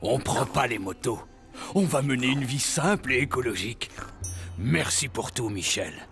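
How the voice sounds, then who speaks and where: A man playing a burly, earnest, slow-witted cartoon biker with a gravelly voice. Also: medium pitch, lively intonation, fairly bright tone. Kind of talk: cartoon voice acting. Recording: music behind.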